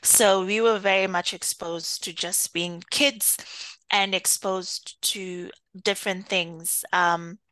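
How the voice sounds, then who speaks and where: A woman from Kenya being interviewed, speaking thoughtfully with frequent pauses and easy laughs. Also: fairly low pitch, fairly even intonation, pushed and bright, dry recording, rough recording. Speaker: interview guest, data executive. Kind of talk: conversational interview.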